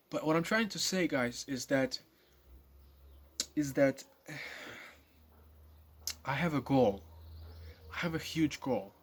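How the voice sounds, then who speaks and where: A man's voice: mid-range voice, breathy edge. A nineteen-year-old man talking casually to his viewers in a rambling monologue, full of fillers and restarts. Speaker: vlogger.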